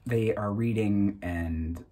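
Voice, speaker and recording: A man in his thirties hosting his own channel, talking casually and a little self-consciously in a halting, informal way. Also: lowish voice, dark tone. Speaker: host of a reading channel. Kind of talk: casual, unscripted chat to camera.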